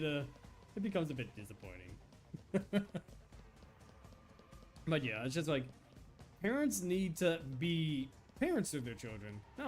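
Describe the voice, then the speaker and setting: A man in his thirties chatting casually and earnestly while streaming a game, rambling a little with restarts and chuckles. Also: fairly high pitch. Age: 30s. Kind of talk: casual live-stream chat.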